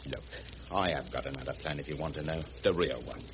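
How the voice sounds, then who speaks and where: An actor, rambling along in folksy, colloquial speech. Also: male voice, rumbling low, fast talker. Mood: confiding, explaining. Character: rough, good-hearted working-class boatman, chatty and affectionate. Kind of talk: radio drama acting. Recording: muffled radio drama recording with background noise.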